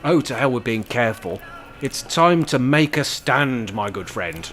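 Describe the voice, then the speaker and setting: A man's voice: medium pitch, lively intonation, measured pace, fairly bright tone, soft background music. A man playing a grumpy, bossy, rebellious older man in a comic radio play, blunt and exasperated. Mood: defiant. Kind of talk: radio play comedy acting.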